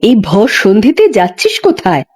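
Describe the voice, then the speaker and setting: A woman's voice: medium pitch, wildly swooping pitch, a little fast, a little mellow, husky. A woman voicing a weary, careworn village midwife in a dramatised horror story, speaking in a colloquial, lamenting way. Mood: concerned, admonishing. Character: weary, careworn village midwife, a caring but burdened wife.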